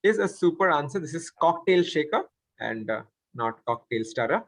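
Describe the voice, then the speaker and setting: A male quiz master reads questions aloud in a somewhat high voice. It has a husky edge. The sound is dry, with little room around it. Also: expressive, moderate pace, a bit bright, dry recording. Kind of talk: reading quiz questions aloud, with spoken asides.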